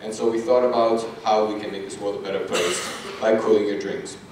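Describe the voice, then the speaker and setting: Young man from Russia, student pitch presenter, upbeat; distant mic, very echoey room. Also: steady tone, a little fast. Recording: echoey room, far from mic, some noise.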